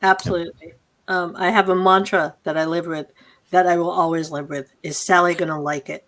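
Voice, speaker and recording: A woman's voice: on the low side, steady tone, brisk, a bit bright, rough recording. A woman informally hosting an online event over a video call, speaking in an effusive, thankful manner. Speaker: host and director.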